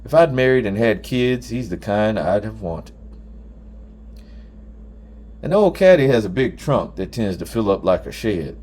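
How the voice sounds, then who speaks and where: A man with a fairly low voice narrates a story in the first person. He reads as an audiobook narrator, telling it from a script. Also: animated, unhurried, long pauses, darkish tone.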